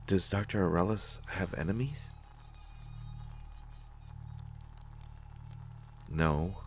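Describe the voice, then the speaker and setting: A man playing a nervous, awkward, well-meaning character in a sci-fi audio drama, speaking haltingly with many stammers. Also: low-pitched, creaky, heavily acted. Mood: wary, thoughtful. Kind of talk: solo scripted audio drama, conversational acting.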